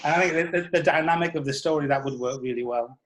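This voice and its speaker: A middle-aged man giving a reflective interview, telling anecdotes in a hesitant, measured way. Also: on the high side, background noise. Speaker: writer interviewed about audio drama scripts.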